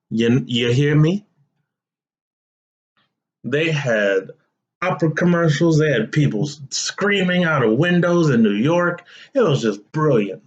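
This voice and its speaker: A young man hosts a solo podcast at an unhurried, drawn-out pace. His manner is relaxed and chatty, and he keeps addressing his listeners directly. The audio is a little dull and muffled. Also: on the high side. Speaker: podcast host. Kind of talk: casual solo podcast talk.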